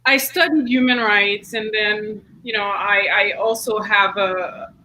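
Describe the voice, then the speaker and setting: A woman's voice: medium pitch, steady tone, a little slow, sharp tone, a little hiss or hum, poor audio. A woman with a Haitian accent, a city council member speaking earnestly and thoughtfully in an online book discussion, often restarting her sentences. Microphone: video call.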